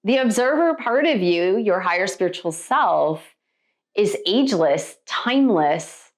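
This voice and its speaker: An American woman gives a motivational spiritual talk with a very lively, varied pitch. Her delivery is conversational and emphatic, like a meditation coach explaining ideas straight to her viewers. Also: a little slow. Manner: emphatic, conversational, with rhetorical check-in questions.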